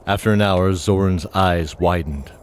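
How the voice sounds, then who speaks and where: A man's voice: sits low, a little slow, a little hiss or hum. A man reading scripted narration for a fantasy audio drama in a descriptive storytelling manner.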